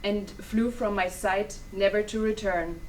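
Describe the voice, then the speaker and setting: A young woman in a staged play reading, playing a calm, knowing grandmother who gives mystical advice. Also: mid-range voice, a little slow, natural voice. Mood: sorrowful. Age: young adult. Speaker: student actor in a dramatic reading. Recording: distant mic, noisy room ambience.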